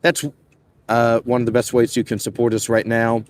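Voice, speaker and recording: A man's voice: medium pitch, moderate pace. A man in his twenties hosting a casual livestream, chatting loosely while he walks and reads out viewer comments. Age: 20s. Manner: relaxed, loose, frequent "uh" and "man". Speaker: livestream host.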